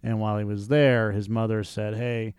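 A man's voice: lowish voice, flat delivery, normal speed, a little mellow, clean tone, no room sound, clean studio sound. A man in his forties co-hosting a casual podcast chat, blunt and profane. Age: 40s. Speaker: movie podcast co-host.

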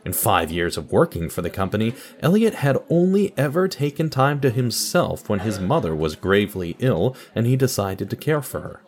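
A man's voice: fairly low pitch, big pitch swings, normal speed, few pauses, a little mellow. A man delivering scripted narration and announcements for an audio drama.

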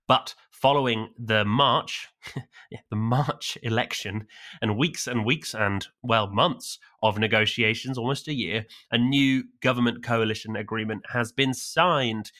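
A man's voice: medium pitch, fairly even intonation, unhurried, pushed and bright. A man co-hosting a scripted news roundup, reading headlines with dry, wry asides. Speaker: news podcast co-host.

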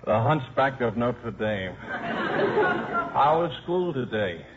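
Sardonic wisecracking coach, old muffled radio. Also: male voice, mid-range voice, big pitch swings, slow, over a noisy crowd, very noisy. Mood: weary, gruff. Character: wisecracking down-on-his-luck football coach and father. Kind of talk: 1950s radio drama acting.

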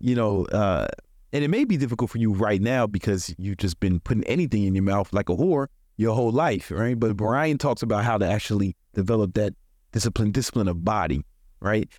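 Low-voiced American man, casual motivational podcaster. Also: lively intonation, a little fast, a little mellow, no echo, clean studio sound. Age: 40s. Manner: colloquial, profane, chuckles often. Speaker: podcast host. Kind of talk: casual solo motivational talk.

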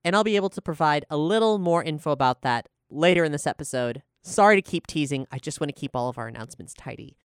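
A woman's voice: deep voice, animated, fast, few pauses, smooth, no room sound, clean studio sound. A woman hosting a podcast in casual panel chat, speaking informally with playful asides. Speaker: podcast showrunner and host.

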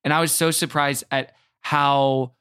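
A man's voice: mid-range voice, level tone, unhurried, sharp tone, clean tone, no echo. Wry, casual man in a dry-sounding room. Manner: wry, joking. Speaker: podcast guest, comedian and podcaster. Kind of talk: casual chat.

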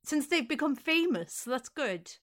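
A woman's voice: high-pitched, very animated, moderate pace, a bit bright. An Irish woman co-hosting a podcast, chatting casually, self-mocking and prone to trailing off. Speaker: podcast co-host. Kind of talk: casual chat.

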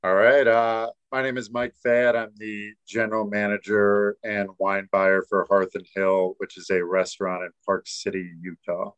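Low flat-voiced man, laid-back. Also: dry recording, dull audio. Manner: laid-back, halting with fillers. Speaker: guest, restaurant wine buyer. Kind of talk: casual interview chat.

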